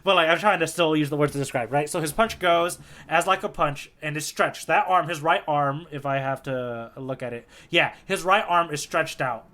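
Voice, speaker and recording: Bright-voiced, higher-pitched man explaining excitedly. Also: normal speed, few pauses. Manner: animated explainer who often checks with "right?". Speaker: podcast co-host explaining a manga fight. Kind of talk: casual conversational explanation.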